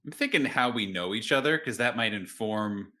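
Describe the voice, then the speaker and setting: Bright-voiced man brainstorming a game. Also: lowish voice, animated, moderate pace. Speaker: player in an actual-play podcast. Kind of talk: casual collaborative game planning.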